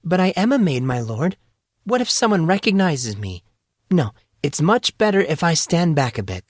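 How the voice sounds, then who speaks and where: Young maid played by a man, raised pitch, meek, nervous, formal storybook speech. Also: sing-song, normal speed, no room sound, studio quality. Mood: anxious, deferential. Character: timid, deferential young maid, female.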